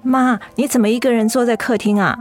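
A woman's voice: on the high side, fairly even intonation, brisk, faint music behind, close to an everyday voice. A woman playing a devoted young adult daughter in a radio drama, emotional and plaintive, reluctant to let go. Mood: caring. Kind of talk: Mandarin radio drama acting.

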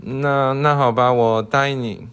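A man's voice: mid-range voice, fairly even intonation, a little slow, a bit bright, smooth, close to an everyday voice. A young man playing an earnest, hesitant young scholar in love, in an amateur radio drama. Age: young adult. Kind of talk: student radio drama acting.

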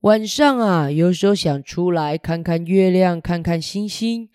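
A woman doing a sheepish gentle storybook wolf. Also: lowish voice, smooth, no room sound, studio quality, natural voice. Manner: slow, sheepish and plaintive. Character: mild-mannered male big bad wolf.

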